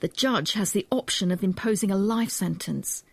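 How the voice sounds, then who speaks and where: A woman presents a documentary in a measured, questioning and explanatory way. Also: medium pitch, vocal fry, husky, no echo. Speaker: presenter, history professor. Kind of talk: documentary narration and interview.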